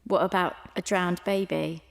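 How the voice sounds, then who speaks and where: A woman's voice: lowish voice, steady tone, normal speed, creaky. Frank, streetwise young Georgian London woman. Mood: desperate, defiant. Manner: frank, plain-spoken. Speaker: actor and narrator in an audio drama. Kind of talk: audio drama dialogue and first-person narration.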